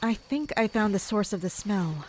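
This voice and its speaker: A composed woman who captains mercenaries , as voiced by an actress. She tells her story in a measured, matter-of-fact tone with a dry edge, at a middle pitch, in the style of an audio drama. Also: animated, a little mellow, a little hiss or hum, lightly acted. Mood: grim, focused. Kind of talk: first-person narration and dialogue in an audio drama.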